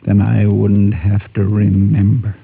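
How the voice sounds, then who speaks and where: An actor playing a sorrowful, guilt-ridden western preacher, husky and halting, in a vintage radio drama. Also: male voice, on the low side, completely flat delivery, slight background noise. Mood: anguished, remorseful. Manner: slow, halting, heavy. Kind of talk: 1950s radio western acting. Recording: muffled, thin vintage radio recording.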